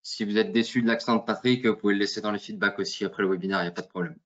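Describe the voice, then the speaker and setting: A man hosts a webinar in a relaxed, joking way, full of little fillers and asides. His voice sits fairly low. It comes through a muffled, lo-fi video call connection. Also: racing. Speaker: webinar host. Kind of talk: casual webinar presentation. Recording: dull lo-fi call audio.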